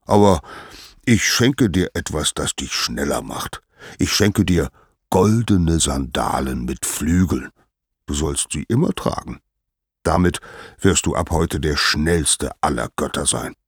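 A man voicing a calm, patient, authoritative father of the gods in a storybook tale. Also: rumbling low, slightly rough, no room sound, clean studio sound, exaggerated voice. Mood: calm, commanding. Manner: measured, commanding, patient.

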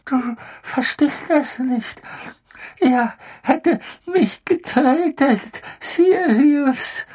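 A man's voice: very high-pitched, very slow, a little mellow, pitched above the performer's own voice, exaggerated voice. A man reading an audiobook, voicing a cowering, sobbing middle-aged male traitor with a whiny, pleading voice. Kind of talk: audiobook character voice.

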